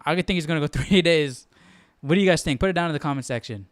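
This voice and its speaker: A man hosts a casual Bible livestream, wondering aloud and posing questions. His voice is a touch high, smooth and clean, recorded very dry. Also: quick pace, no room sound. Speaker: host of a live Bible study.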